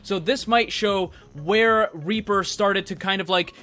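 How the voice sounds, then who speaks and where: A man with a high, forceful, bright voice hosts a gaming news commentary. He talks conversationally and explains his ideas straight to the viewer, with faint music playing behind him. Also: a little slow. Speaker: video game news host. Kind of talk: casual commentary and speculation for viewers. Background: background music.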